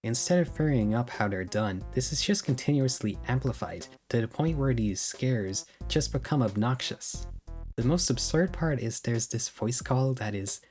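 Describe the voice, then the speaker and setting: A man's voice: medium pitch, lively intonation, moderate pace, darkish tone. A man narrating a video essay in a casual, opinionated way. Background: music.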